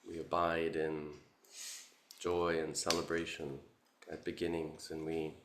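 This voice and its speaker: A man guiding a meditation as a Buddhist teacher, contemplative and halting, with long pauses between short phrases. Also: very deep voice, monotone, a bit bright, a little hiss or hum. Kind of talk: guided meditation, Buddhist dharma talk. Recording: roomy, echoing sound.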